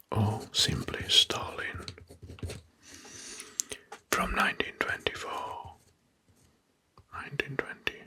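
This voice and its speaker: A man whispering an ASMR history narration into a close microphone, hushed and deliberate, softly repeating key phrases. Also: lowish voice. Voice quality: breathy, mostly unvoiced whisper. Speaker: ASMR host.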